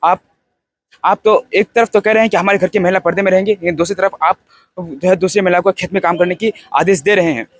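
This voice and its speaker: Young guy, pretty high voice, dry sound, giving his take on a film, earnest n repeats himself. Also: fairly even intonation, fast, dry recording. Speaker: film festival participant. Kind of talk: informal spoken film review.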